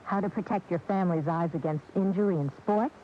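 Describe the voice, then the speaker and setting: A woman's voice: on the low side, fairly quick. A woman reading a scripted public service announcement on radio. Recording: dull, faintly noisy AM radio tape.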